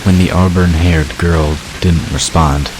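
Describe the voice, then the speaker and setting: A man's voice: low voice, fairly even intonation, measured pace. A man narrating a dramatic fantasy story from a script. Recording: clear hiss behind the narration.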